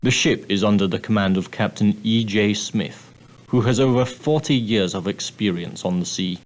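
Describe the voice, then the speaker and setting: Man acting as straight-laced ocean liner steward, lower-pitched male voice, formal, clipped, dryly sardonic, adventure game dialogue. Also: normal speed, natural voice. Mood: formal, courteous.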